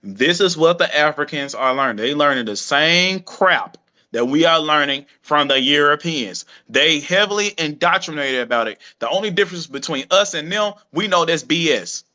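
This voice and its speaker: A man's voice: on the high side, lively intonation, normal speed, pushed and bright. A male host in a casual live-stream chat who talks in a blunt, dismissive, slangy way.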